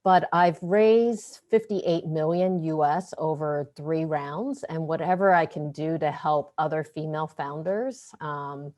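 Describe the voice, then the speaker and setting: A woman in her forties introduces herself as a founder on an online panel over a video call. Her voice sits notably low, and she speaks briskly and with confidence. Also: a little mellow. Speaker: founder and CEO.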